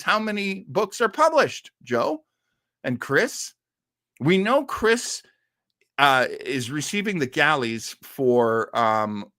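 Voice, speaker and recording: A man's voice: fairly high pitch, a bit bright, clean studio sound. A man hosting a casual live stream in a rambling, jokey, exclamatory way. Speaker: host of a live streamed show. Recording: roomy echo with faint music.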